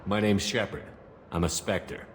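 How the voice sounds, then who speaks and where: A commanding military agent in a video game. His voice is low and direct, ringing with long reverb over faint noise. He keeps it clipped and steadfast. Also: steady tone, a little slow. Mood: firm, commanding.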